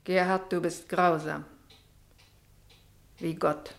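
An actress in a German radio play playing an anxious, grieving wife with a hushed, pleading manner. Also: female voice, on the low side, little pitch movement, slow, vocal fry, echoey room. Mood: pleading, distressed.

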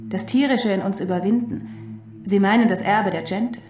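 An actress in a German radio drama playing a knowing teacher who explains history in a deliberate, emphatic way. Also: female voice, mid-range voice, darkish tone, reverberant, close to an everyday voice. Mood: grave, earnest. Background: music.

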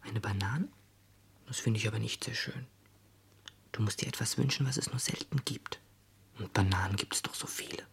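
An actor playing a tender, brooding confidant at night, speaking softly and pensively in a radio play. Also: male voice, on the low side, vocal fry, slightly rough, exaggerated voice. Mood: hushed, tender. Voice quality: soft, half-whispered. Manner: hushed, slow, pensive. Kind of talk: German radio play acting.

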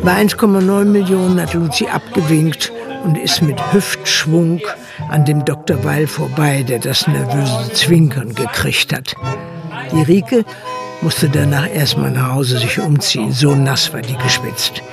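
A man's voice: a little high, unhurried, vocal fry. A man narrating a radio drama as a plain-spoken village storyteller, in a colloquial, dry tone with music underneath. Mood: wry. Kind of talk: folksy scripted narration.

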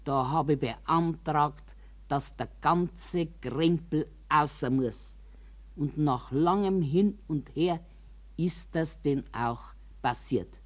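An older actress playing an embittered, quarrelsome and anxious old care-home resident in a radio monologue, with a Bavarian accent and a grumbling, emphatic, deliberate delivery. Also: female voice, low-pitched. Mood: indignant, recounting. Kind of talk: dramatic radio monologue in colloquial dialect.

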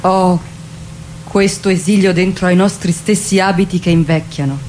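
A woman's voice: on the low side, fairly even intonation, moderate pace, darkish tone, a little hiss or hum. A woman delivering a poetic dramatic monologue.

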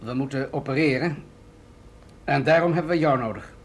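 An actor in a radio drama playing an authoritative military general, grave and deliberate as he explains things. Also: mid-range voice, natural voice. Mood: serious, probing.

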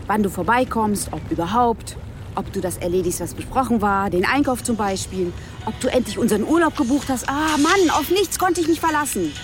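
An actress around forty playing a sarcastic, skeptical police detective in a naturalistic radio drama, asking dry, pointed questions. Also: female voice, a little high, a little fast, music in the background, close to an everyday voice. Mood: reproachful.

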